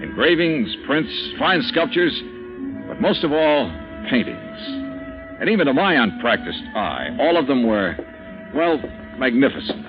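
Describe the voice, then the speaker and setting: A man's voice: medium pitch, very animated. An actor playing a wry male insurance investigator who narrates his own case in a 1950s radio drama. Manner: brisk, wry first-person narration. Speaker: narrator and lead. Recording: dull vintage radio broadcast with music.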